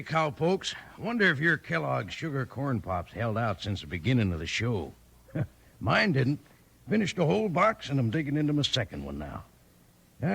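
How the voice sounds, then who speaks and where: Casting: folksy male radio pitchman, husky medium-pitched voice, lively swings, 1950s western ad. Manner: folksy, chummy.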